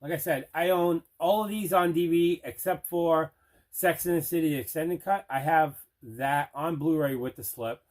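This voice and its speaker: A man's voice: a little high, moderate pace. A man hosting a casual unboxing video, chatting away and repeating himself for emphasis. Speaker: host of an unboxing video show.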